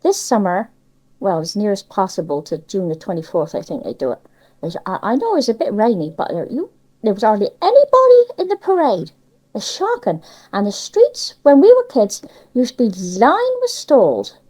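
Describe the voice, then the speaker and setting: Older cornish lady chatting away, soft mellow tone, voice up and down loads, lots of dialect and little tag questions. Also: medium pitch, very animated, moderate pace. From Cornwall, England. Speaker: interviewee sharing local memories. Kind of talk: casual reminiscing chat.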